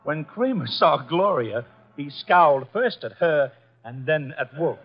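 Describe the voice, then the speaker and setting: An actor playing a brash, wisecracking detective's assistant in a 1950s radio drama, with a slangy, tough delivery. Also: male voice, a little high, animated, measured pace, breathy edge, soft background music, exaggerated voice. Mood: wry narrating. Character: brash, wisecracking detective's legman, youngish man. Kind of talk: 1950s radio drama acting, hardboiled narration. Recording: dull vintage 1950s radio recording.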